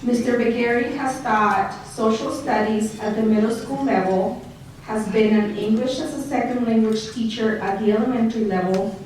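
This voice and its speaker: A woman's voice: fairly high pitch, a little mellow, a little hiss or hum. Mexican-accented woman speaking in an echoey hall. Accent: Mexican Spanish. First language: Spanish. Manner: reads prepared remarks with joking asides to the audience. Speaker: school district director introducing an award nominee. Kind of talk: speech at an awards banquet. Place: large banquet hall. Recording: echoey hall recorded from far off.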